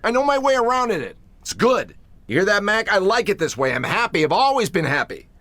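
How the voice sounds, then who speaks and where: An actor playing a cocky, hard-drinking American blind jazz pianist in a radio drama, wisecracking and slangy. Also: male voice, sits high, sing-song, brisk, fairly bright tone, dry recording. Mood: agitated bravado. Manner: wisecracking, bravado-filled, slangy.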